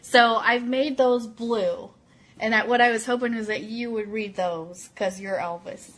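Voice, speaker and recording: A woman hosting a casual live fan show, chatting and reading aloud in an excitable, informal, giggly way. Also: a little high, a little slow. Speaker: host of an Elvis fan radio show.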